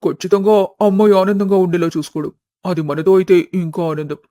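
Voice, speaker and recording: A man acts out a defensive young lover in a family story. His voice is high for a man, and the sound is dry and close. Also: flat delivery, fairly quick, mellow, no echo, lightly acted. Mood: defensive, earnest.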